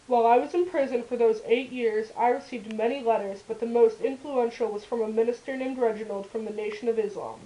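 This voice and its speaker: A woman's voice: on the high side, steady tone, brisk, few pauses, warm tone, highs cut off, close to an everyday voice. A woman playing a firm, defiant male activist in a puppet show, narrating his life in the first person. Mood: earnest, firm.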